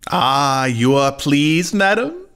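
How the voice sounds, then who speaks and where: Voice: medium pitch, very animated, slow, fairly bright tone, clean tone, exaggerated voice. An actor playing a pompous, pedantic trickster who poses as an apothecary suitor, declaiming floridly in a classical audio farce. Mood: hopeful. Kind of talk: classical stage farce acting in an audio play.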